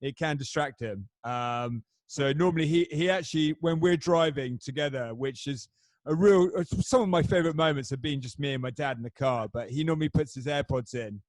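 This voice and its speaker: A man's voice: mid-range voice, no room sound. A young man hosting a casual chat, joking about himself in a hesitant, rambling way. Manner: hesitant, jokey, self-mocking. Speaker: host.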